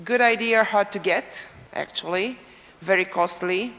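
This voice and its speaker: A woman's voice: mid-range voice, a bit bright. A woman speaking English with a Romanian accent, answering frankly as a conference panelist. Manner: frank, unhurried, self-assured. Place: large conference hall. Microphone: table microphone, at times dead. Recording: reverberant hall, voice from a distance.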